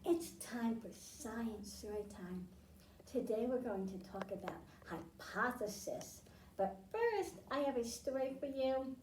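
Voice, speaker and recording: High-voiced woman reading storytime. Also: expressive, darkish tone, slight background noise. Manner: friendly, slow and clear, asking the kids questions. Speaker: children's librarian, storytime host. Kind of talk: children's storytime and science lesson. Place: library room, indoors. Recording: echoey room, mic at a distance.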